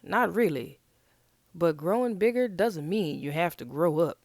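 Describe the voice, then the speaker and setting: A woman's voice: lowish voice, expressive, unhurried, darkish tone, no room sound, studio quality. A woman voicing patient, easygoing grown-up animals in a picture-book read-aloud, coaxing and matter-of-fact. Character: patient grown-up frog parent and easygoing pig.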